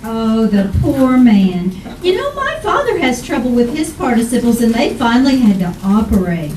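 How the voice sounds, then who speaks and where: Woman as a high-voiced, dim, chatty household helper. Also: sing-song, normal speed. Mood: earnestly clueless. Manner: earnest, rambling, literal-minded. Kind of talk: 1940s radio comedy acting. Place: theater stage before an audience. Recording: echoey live stage, distant mic, noise.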